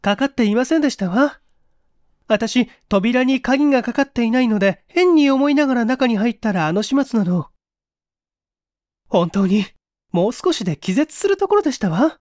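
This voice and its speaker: A woman plays a young lady from a vintage mystery drama. Her voice is high and feminine, with refined, composed manners and a pleading politeness. The recording is close and dry. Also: animated, normal speed, darkish tone, no room sound, lightly acted. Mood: earnest, shaken.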